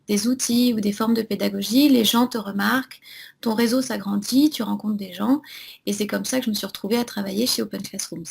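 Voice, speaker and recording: A woman's voice: mid-range voice, vocal fry, a little mellow, breathy edge. A young woman from French Guiana, talking hesitantly in an interview with many fillers. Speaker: interview guest. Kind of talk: remote interview conversation.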